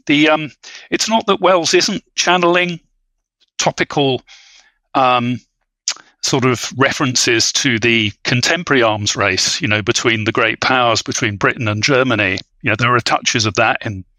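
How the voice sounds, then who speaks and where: A man speaking as an expert guest in an academic discussion, hesitant and scholarly, often pausing to rephrase. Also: mid-range voice, sharp tone, no room sound, poor audio. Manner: halting, hedging, scholarly, frequent "you know". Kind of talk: academic discussion on a film podcast.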